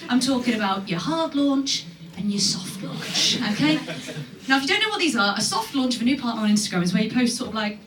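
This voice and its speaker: A woman's voice: on the high side, lively intonation, fast talker. A woman in her thirties performing stand-up in a cheeky, conversational style, working the crowd in a live club. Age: 30s. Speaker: stand-up comedian. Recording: echoey, noisy live club, distant mic.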